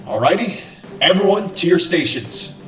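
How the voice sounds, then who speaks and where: A man's voice: high voice, very animated, moderate pace, a bit bright. A man voicing a restless, adventurous robot captain, earnest and casual, in an amateur comic dub. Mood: determined. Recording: roomy, with music bed.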